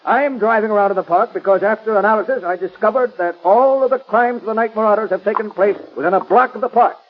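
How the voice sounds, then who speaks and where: Male actor as pompous police chief, high pitch, emphatic, earnest, muffled old radio recording. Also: quick pace, faint music behind, lightly acted. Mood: self-satisfied. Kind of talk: 1930s radio drama acting.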